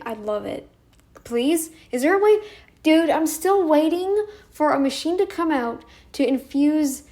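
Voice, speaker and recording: A young woman vlogging casually to camera, her voice croaky as she talks in halting, rambling sentences full of restarts. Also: a little high, darkish tone. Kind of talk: casual talking-head vlog.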